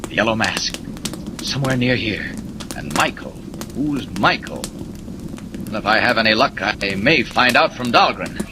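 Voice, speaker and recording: An actor playing a brisk heroic newspaper reporter with a secret identity, in urgent 1940s radio serial style. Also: male voice, fairly low pitch, animated. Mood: alert, suspicious. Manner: urgent, self-narrating, stammering when pressed. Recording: noisy vintage 1940s radio recording.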